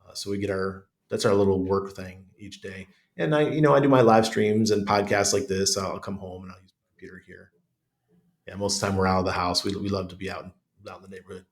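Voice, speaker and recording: Deep-voiced, congested middle-aged American man. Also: fast. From United States. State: chest congestion. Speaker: podcast guest. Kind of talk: casual conversational interview.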